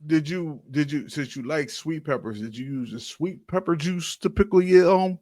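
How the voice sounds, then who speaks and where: Male co-host, slightly higher register, casual profane banter, jokey, dry recording. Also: normal speed, warm tone, no room sound. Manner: joking, profane. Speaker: podcast co-host.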